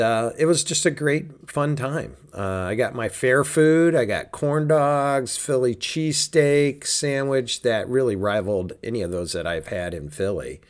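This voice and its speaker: A man with a raspy, hoarse voice from a sinus cold, hosting a casual church podcast in an easygoing, chatty way. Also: mid-range voice. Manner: easygoing, chatty, with many fillers. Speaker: podcast host.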